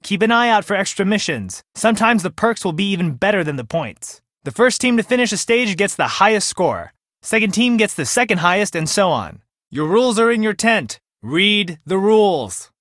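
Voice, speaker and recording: An actor playing a brisk, eccentric reality show producer in a video game, giving clipped instructions. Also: male voice, sits high, very animated, fairly bright tone, no echo, clean studio sound. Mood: brisk, instructive. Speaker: line producer.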